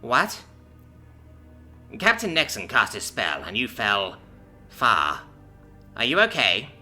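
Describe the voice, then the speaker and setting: A man's voice: mid-range voice, wildly swooping pitch, takes it slowly, sharp tone, soft background music, heavily acted. A man voicing a concerned, dutiful lieutenant in a storybook reading, speaking earnestly.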